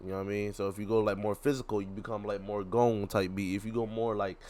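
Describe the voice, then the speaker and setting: Slangy man reacting, lowish voice. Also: flat delivery, quick pace, a little mellow. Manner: slangy, rambling, repeats himself. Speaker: gaming YouTuber reacting to a video. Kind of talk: casual live reaction commentary.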